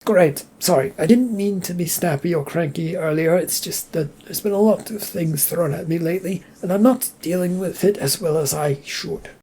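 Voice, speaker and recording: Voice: mid-range voice, moderate pace, few pauses, dark tone, slightly rough, voice pushed up. A reader doing a flustered, stammering manager. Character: flustered male manager, stressed.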